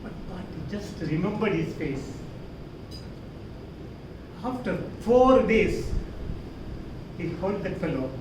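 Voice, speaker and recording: High-pitched Indian-accented man telling a story dramatically. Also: expressive, a little mellow. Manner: dramatic, emphatic delivery with deliberate pauses. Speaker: contest speaker. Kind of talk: theatrical storytelling speech. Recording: roomy echo, distant mic, clear rumbling noise.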